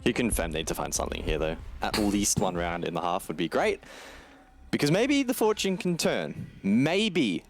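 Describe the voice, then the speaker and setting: A man's voice: lowish voice, very animated, moderate pace, soft background music. A man giving live tactical commentary on an esports match in a casual, analytical, wry way. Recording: gunfire and game noise behind. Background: video game gunfire and clicks.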